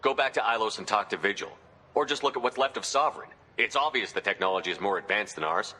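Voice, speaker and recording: A stern game commander with a forceful, low voice. He talks in clipped, driven phrases in a reverberant hall. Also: animated, fast, slight background noise. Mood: insistent. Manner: clipped, businesslike, insistent. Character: stern male military commander, adult, blunt and driven. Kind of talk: video game cutscene acting.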